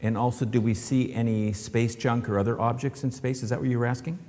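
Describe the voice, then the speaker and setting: A man's voice: mid-range voice, steady tone, a little fast, darkish tone. Man restating a question, reverberant hall. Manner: clarifying, methodical restating. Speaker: astronaut panelist. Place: large hall stage with audience. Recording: long reverberant hall sound.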